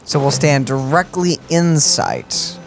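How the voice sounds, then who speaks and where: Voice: mid-range voice, slow, vocal fry. A streamer's co-commentator making dry, casual quips over a game playthrough. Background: game music.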